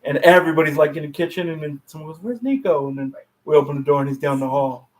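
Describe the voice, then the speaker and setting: Casual man with a higher-pitched voice. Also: flat delivery, rapid-fire, rough recording. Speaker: podcast co-host. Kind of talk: casual chat.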